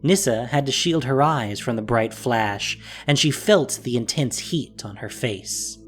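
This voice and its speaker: A man's voice: mid-range voice, unhurried. A man reading scripted story narration as the narrator of an audio drama. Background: music.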